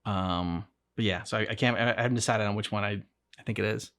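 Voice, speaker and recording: A man hosting a reaction video, thinking aloud in a casual, halting, repetitive way. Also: lowish voice, fairly even intonation, a bit bright. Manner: rambling, halting, repeats himself. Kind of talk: casual chat, reacting to a game.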